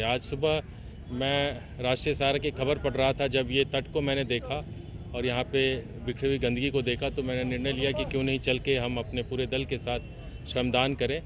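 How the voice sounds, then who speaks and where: A man, a police official, giving a halting, deliberate statement to media and mixing in English words. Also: medium pitch. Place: outdoors. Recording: outdoor interview with traffic-like background noise.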